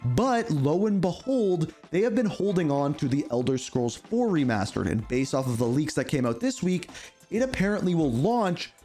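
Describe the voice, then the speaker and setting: A man hosting a casual gaming news show, chatty and opinionated, congested and hoarse from a cold. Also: a little high, normal speed, soft background music. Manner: chatty, opinionated, lively emphasis.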